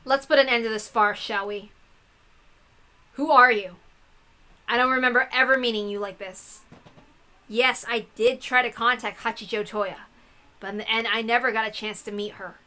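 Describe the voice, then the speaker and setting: A woman's voice: fairly high pitch, fairly even intonation, moderate pace, pauses often, sharp tone. A woman voicing a troubled but defiant young story heroine with an earnest, questioning manner.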